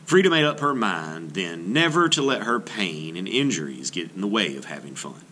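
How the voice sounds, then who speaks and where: Bright, lively male read-aloud teacher. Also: low voice, moderate pace. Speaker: art teacher reading aloud. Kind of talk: read-aloud of a children's biography. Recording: noticeable background noise.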